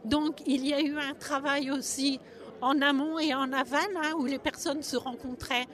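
A woman's voice: high-pitched, flat delivery, normal speed, a bit bright. A woman explaining a community project in an interview. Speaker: exhibition project organizer interviewee.

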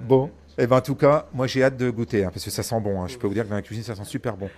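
A man reporting for radio on location, chatty and upbeat. He talks very fast and fires off one short question after another. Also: medium pitch, noisy background.

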